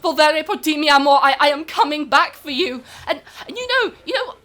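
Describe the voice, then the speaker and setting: A woman playing a high-voiced, over-polished film star. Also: expressive, fairly quick, a bit bright. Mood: exasperated, frantic. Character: pampered, overworked film star who cracks under press tour. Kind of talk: improvised-sounding comedy podcast interview acting.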